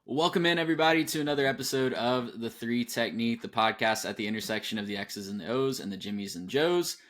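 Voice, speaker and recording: A man hosts a sports podcast in an easygoing, upbeat way. His voice sits at a middling pitch, and he moves conversationally between welcomes and questions. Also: quick pace, fairly bright tone. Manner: upbeat, conversational host patter. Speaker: podcast host. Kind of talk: casual podcast hosting.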